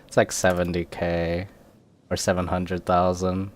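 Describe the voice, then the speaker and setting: A man's voice: low voice, completely flat delivery, measured pace. A man livestreaming a game, rambling casually and muttering to himself as he plays. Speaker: livestreamer, gamer. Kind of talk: casual livestream gaming chat. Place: indoors at a computer.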